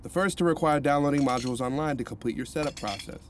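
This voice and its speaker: An actor playing a cheerful male counselor who talks in an animated, rambling way. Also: mid-range voice, fast, a little hiss or hum, close to an everyday voice. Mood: cheery. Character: cheerful male rehab counselor, upbeat and chatty.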